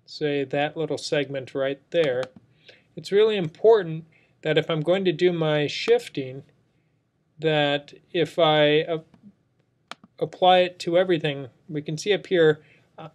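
A man's voice: a little high, darkish tone. A man narrating an unscripted screen tutorial as an instructor, explaining things step by step. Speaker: software instructor. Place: indoors, screen recording voice-over.

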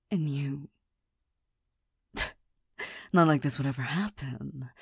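A prickly young girlfriend who hides her tenderness, as a woman plays her in an audio roleplay. Her voice is low and very husky, and she half-whispers slowly with little stammers and shy laughs. The sound is dry and close, with no room around it. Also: darkish tone, dry recording, full character voice. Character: proud, touchy young girlfriend hiding tenderness. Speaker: voice actor in a listener roleplay.

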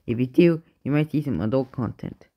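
Halting man making mock emergency announcements. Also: medium pitch, mellow. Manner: halting delivery, stumbling and correcting himself. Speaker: narrator of fictional emergency alerts.

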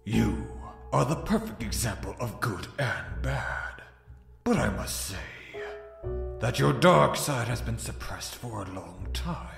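Slow, gravelly, sinister shadow villain. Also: male voice, fairly low pitch, sing-song, a bit bright, full character voice. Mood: taunting. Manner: slow, menacing, taunting, theatrical delivery. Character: sinister, gloating dark shadow hedgehog villain, male. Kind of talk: scripted fan comic dub. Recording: echoey voice over background music.

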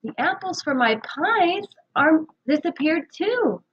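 A woman voicing an excitable, puzzled boy at summer camp in a storybook, urgent and questioning. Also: high voice, takes it slowly, highs cut off, slight background noise, pitched above the performer's own voice, exaggerated voice. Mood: dismayed.

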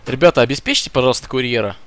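A young man streaming a game and bantering with friends, swearing freely and jumping in pitch when he yells. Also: very fast. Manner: excitable, pitch jumps when yelling. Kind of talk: casual gaming chat with friends.

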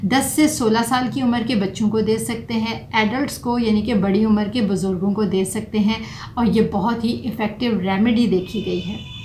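A woman's voice: mid-range voice, fairly even intonation, moderate pace, darkish tone. A middle-aged woman, a doctor explaining home remedies to camera and mixing in English terms. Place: small furnished room indoors. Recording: roomy echo, faint background noise.

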